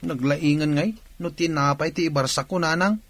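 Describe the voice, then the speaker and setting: A man's voice: on the high side, normal speed, a bit bright, no echo. A man playing an eager, cheeky teenage son in Ilocano radio drama, with a Filipino accent. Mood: cheeky, protesting.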